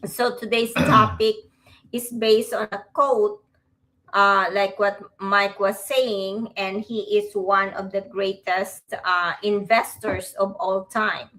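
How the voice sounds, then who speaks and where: A woman from the Philippines co-hosting a live stream, speaking with many pauses and fillers. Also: medium pitch, level tone, pushed and bright, rough recording. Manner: hesitant, many fillers and restarts. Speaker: co-host. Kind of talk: casual live-stream chat.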